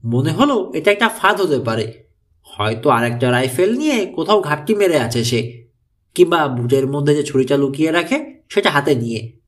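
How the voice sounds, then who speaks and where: A man plays a worried mother in a mystery audiobook. She is wary and anxious, full of questions, with a lively, swinging intonation. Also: fairly high pitch, moderate pace. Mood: worried, explaining. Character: worried, wary American mother posing as Mexican. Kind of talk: audiobook character dialogue.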